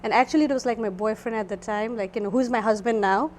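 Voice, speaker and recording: A woman's voice: fairly high pitch, quick pace, a little mellow, slight background noise. A woman in her twenties from Nepal with a Nepali accent, chatting as a guest esthetician and filling her talk with "like" and "you know". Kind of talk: casual interview chat.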